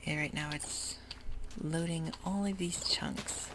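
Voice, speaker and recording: A woman whispering ASMR gameplay commentary in a soft, rambling way with many ums. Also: deep voice, steady tone, slow, background noise. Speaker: gaming ASMR host.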